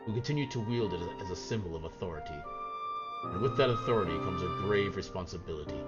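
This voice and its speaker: Man voicing a solemn, deep samurai master. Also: expressive, fast talker, muffled recording. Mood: solemn, measured. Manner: grave, archaic formal phrasing. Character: solemn, formal samurai master instructing a pupil. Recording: game music behind the voice.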